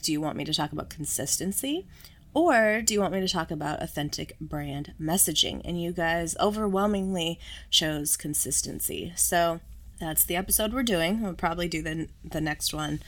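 A woman hosting her own podcast in a casual, chatty way, sick and slightly hoarse, often laughing at herself. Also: mid-range voice. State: sick, voice a little off. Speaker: podcast host. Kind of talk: casual solo podcast chat.

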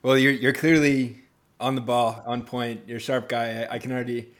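A man's voice: medium pitch, level tone, moderate pace, a bit bright. A man in his thirties hosts the conversation in a level voice with little rise and fall. He speaks hesitantly, doubling back on words as he gathers his thoughts. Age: 30s. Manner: hesitant, repeats words, searching for phrasing. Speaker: podcast host. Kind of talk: conversational interview.

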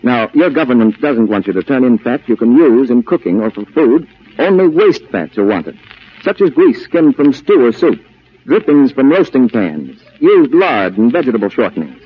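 Male 1940s radio announcer, deliberate and earnest scripted appeal, muffled old recording. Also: medium pitch, sing-song, breathy edge.

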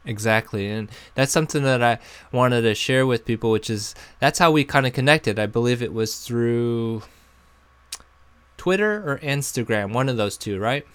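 A man hosting a podcast, reading upbeat promos and then asking relaxed interview questions. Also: mid-range voice, moderate pace, smooth, no room sound. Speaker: podcast host. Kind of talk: podcast intro and casual interview.

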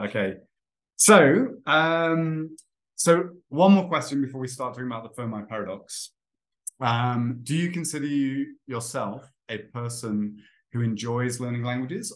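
A man in his thirties hosts a relaxed podcast for English learners. He sounds friendly, stops to explain words, and his speech is full of ums and restarts. His voice sits at an ordinary pitch for a man. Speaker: podcast host and English teacher. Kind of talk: casual interview for English learners.

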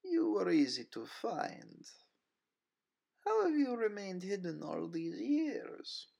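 A man's voice: wildly swooping pitch, slow, creaky, a little mellow, exaggerated voice. A man voicing a disdainful, cruel female monster with a Russian accent and a pretentious, taunting manner in a story reading. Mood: cool disdain. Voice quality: deep female voice, put on by a man.

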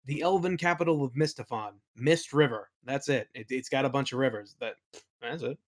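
Guy on a podcast talking casually about running games, average pitch, informal storytelling style. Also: fairly quick. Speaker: podcast guest, game master and worldbuilder. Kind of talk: casual interview chat.

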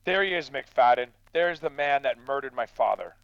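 A man's voice: medium pitch, lively intonation, moderate pace, gravelly, muffled recording, background noise. A man acting in a radio drama as an angry, grieving son, indignant and accusing. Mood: bitter, accusing. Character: bitter, angry grieving son of a murdered farmer.

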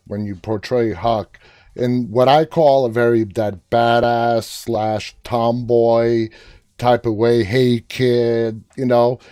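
Man, interviewer from Queens. Dark, relaxed tone, earnest fan-host chat, close dry sound. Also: medium pitch, no room sound. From Queens, New York, United States.

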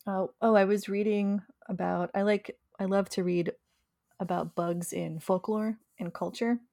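Woman co-host from New York, slightly gravelly tired voice, chatty, dry close mic. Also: medium pitch, steady tone, a little slow, mellow, no echo. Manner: chatty, enthusiastic about bug facts. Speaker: podcast co-host. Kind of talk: casual chat.

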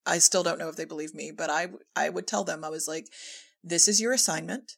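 Female podcast guest, lowish register with clear vocal fry. Conversational, emphatic delivery, repeats for stress. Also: fairly quick. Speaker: podcast guest, audio drama director and writer. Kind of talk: casual conversational discussion.

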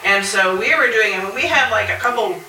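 A woman talks casually as a guest on a live panel, with an animated storytelling manner. Her voice sits at a middle pitch, a little away from the mic with clear echo around it. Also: fairly quick, a little hiss or hum. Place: outdoor patio at a convention. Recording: roomy, distant outdoor live panel recording.

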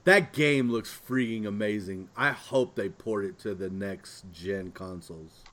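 A man's voice: on the low side, unhurried, a bit bright. A man co-hosting a podcast in casual chat, talking in a rambling, loosely phrased way. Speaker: podcast co-host.

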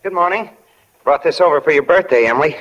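A man's voice: fairly high pitch, lively intonation, fast, a bit bright. An actor playing a modest, bashful neighbor boy in a 1940s radio drama, plain and a little awkward. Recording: dull old 1940 radio recording.

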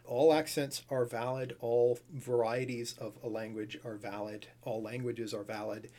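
A man explaining the history of grammar rules in a casual, thoughtful conversation, often pausing with fillers. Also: medium pitch, flat delivery, mellow. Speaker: language expert guest.

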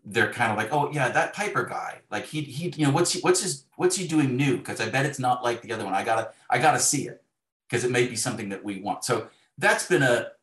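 Echoey, low-voiced man in conversation. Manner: animated storytelling, acting out imagined dialogue. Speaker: guest author. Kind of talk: conversational interview. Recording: roomy, echoey sound.

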